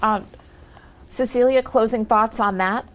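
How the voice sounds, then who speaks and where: A woman's voice: a little high, measured pace. A woman hosting a live television panel, polite and a little hesitant when asking questions. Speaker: host. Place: TV studio.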